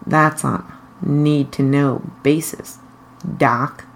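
A woman voicing a brash, sly man in a story, an eager engineer and former henchman, in a bright, pushy manner. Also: deep voice, fairly even intonation, drawn-out words, vocal fry, a little hiss or hum, exaggerated voice.

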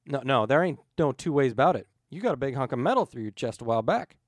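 A man playing a big, rough-spoken, blunt man who stammers, in a story reading. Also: medium pitch, sing-song, fast talker, no echo. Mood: shocked. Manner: rustic, stammering.

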